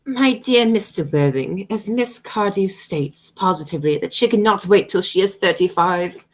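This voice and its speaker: A woman playing an imperious, pompous aristocratic matron in an amateur radio play, speaking in a formal, deliberate way. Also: mid-range voice, close to an everyday voice. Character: haughty, imperious aristocratic matron Lady Bracknell, coolly disapproving.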